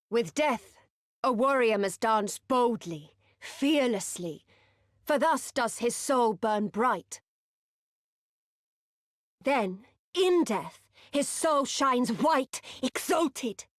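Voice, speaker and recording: A proud warrior leader of a steppe tribe in a video game. She is stern and solemn, with a slow, authoritative delivery and formal old-fashioned wording. The sound is close and dry. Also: on the high side, dry recording. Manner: solemn, authoritative, archaic diction. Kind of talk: dramatic video game voice acting.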